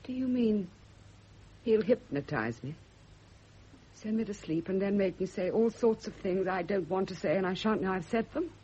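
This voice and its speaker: A woman's voice: mid-range voice, lively intonation, normal speed, mellow, husky. An actress playing a bitter, jealous woman, cold and scornful, in a radio drama. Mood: guarded, suspicious. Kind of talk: 1970 radio drama acting. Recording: dull, band-limited old radio recording.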